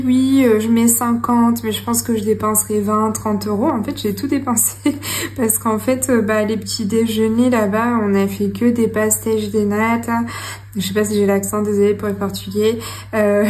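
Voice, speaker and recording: A young woman with a slightly high, mellow voice talks slowly and chattily to her viewers, pausing on lots of fillers and giggling now and then. She sounds like she's at home, with faint household noise in the background. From France. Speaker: budgeting channel host. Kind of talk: casual talk to camera while writing. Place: home room with her cat.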